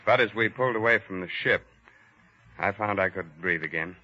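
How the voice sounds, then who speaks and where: An actor playing a plain, matter-of-fact city doctor in 1940s radio drama style. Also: male voice, lowish voice, moderate pace, sharp tone. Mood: sober, matter-of-fact. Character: plain city doctor recounting surviving a torpedo attack. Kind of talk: 1940s radio drama first-person narration. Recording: muffled old 1940s radio recording.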